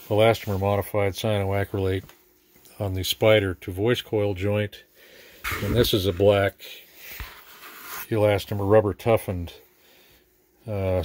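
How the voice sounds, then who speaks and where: A man giving a casual, hands-on repair demonstration with halting, unhurried explanations. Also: lowish voice, fairly even intonation, darkish tone, a little hiss or hum. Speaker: speaker repair technician. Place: small repair workshop, indoors.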